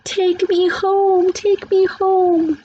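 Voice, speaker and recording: A woman puts on a frightened little boy for a storybook. The voice is pitched far up, crying and wailing its pleas. The sound is slightly dull, with faint noise. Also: level tone, dark tone, heavily acted. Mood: scared wailing. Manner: wailing, drawn-out pleading.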